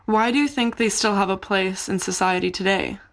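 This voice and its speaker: A woman interviewer reads out her prepared questions in a measured, formal way. Her voice sits at an ordinary middle pitch. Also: a bit bright.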